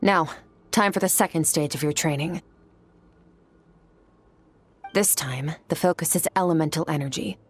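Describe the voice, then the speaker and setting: A composed female mentor in a video game. Her voice is on the low side, matter-of-fact and businesslike. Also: brisk, slightly rough. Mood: calm, instructive. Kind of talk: video game character dialogue.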